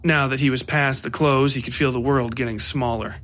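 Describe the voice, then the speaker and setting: A man's voice: medium pitch, steady tone, fairly quick, fairly bright tone, slight background noise. A man reading scripted horror fiction as the narrator of an audio story.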